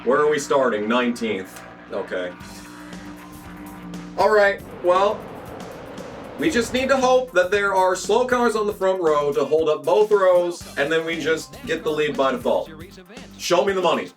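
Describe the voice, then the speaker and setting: A young man, hoarse from losing his voice, commentating his racing game run with frequent swearing and excited outbursts. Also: on the high side, unhurried, pauses often, recorded from a distance, soft background music. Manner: excitable, swears often, jumps into yelps when the race turns. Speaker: gaming channel host. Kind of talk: gameplay commentary.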